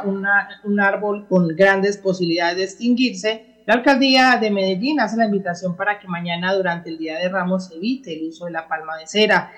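A woman's voice: mid-range voice, fairly bright tone. A woman with a Colombian accent presenting news on the radio and introducing reports.

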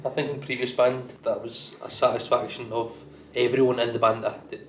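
A singer answers questions in a plain, understated way, mostly keeping his replies short. His mid-pitched voice sits in a reverberant room with a little hiss underneath. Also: little pitch movement, brisk, a bit bright. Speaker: singer guest. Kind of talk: casual interview chat. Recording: echoey room.

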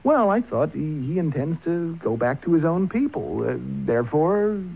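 Whimpering stammery young son, old radio drama, highish voice swinging all over. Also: male voice, very animated, moderate pace, creaky, husky, faint music behind, full character voice. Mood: agitated, defensive. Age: young adult. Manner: stammering, nervous, pleading. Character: cowardly, whimpering young man, spoiled guilty son. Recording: muffled phone-like old radio recording.

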